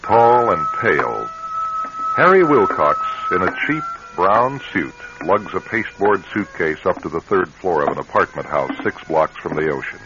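An American man narrating a radio drama in a measured, grave, dramatic style. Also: low-pitched, very animated. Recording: muffled vintage radio drama recording. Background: dramatic music.

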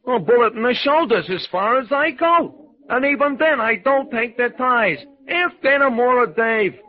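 A man's voice: very high-pitched, lively intonation, moderate pace, voice pushed up. A man voicing a little whiny, shifty hoodlum in wheedling gangster slang in a 1940s-style radio crime drama. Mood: wheedling, defensive. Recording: dull old radio recording.

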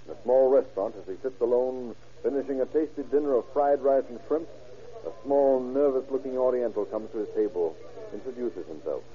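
A man's voice: medium pitch, animated, brisk, highs cut off, natural voice. An American man narrating a crime serial in a scripted, suspenseful old-time radio style. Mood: measured, suspenseful. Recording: thin vintage radio broadcast. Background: music.

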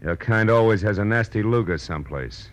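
An actor playing a wry, tough American private detective in 1940s radio style, with a sardonic, slangy delivery. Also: male voice, on the low side, expressive, a little fast, a little hiss or hum, close to an everyday voice. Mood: mocking, tough. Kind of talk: 1940s radio acting, with first-person narration. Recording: old radio recording, faint music.

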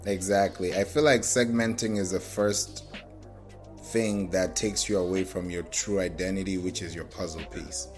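A man with a lowish voice hosts a casual interview. He readily agrees with his guest and often affirms what he says before asking his next question. Faint music plays underneath. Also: steady tone, moderate pace. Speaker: interview host.

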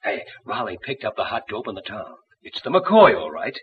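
A man's voice: lowish voice, very animated, moderate pace. An actor playing a brash, wry, slangy tough-guy guerrilla sidekick in 1940s radio adventure style. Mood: emphatic, explaining. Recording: muffled, hissy old radio broadcast.

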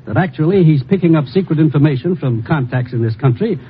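A man playing a brisk, authoritative commissioner in a vintage radio drama, matter-of-fact and firm. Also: a little high, expressive, slightly rough. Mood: briefing. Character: brisk, authoritative male commissioner handing out assignments. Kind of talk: 1950s radio drama acting. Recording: tinny old radio broadcast, faint noise.

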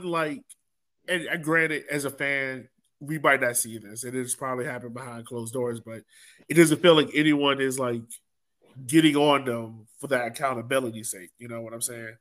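A man's voice: medium pitch, fairly even intonation, normal speed, a bit bright. A man with a mid-range voice hosts a casual soccer podcast. He breaks down play conversationally and draws his co-hosts in as he goes. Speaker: sports podcast host. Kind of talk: casual soccer match analysis.

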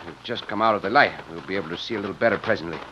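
A bold Mexican adventurer in an old Western radio drama. He talks fast in a forceful, accented voice, choosing formal words with confidence. The sound is muffled and slightly noisy. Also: deep voice. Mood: urgent. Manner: formal phrasing without contractions, confident. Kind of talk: 1950s Western radio acting. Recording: muffled old radio recording, faint noise.

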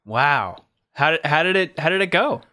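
A man's voice: a little high, animated, normal speed, bright, forceful tone. A man hosting a podcast, reading the intro and chatting in a playful, upbeat way. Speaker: podcast host. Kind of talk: casual chat and show intro.

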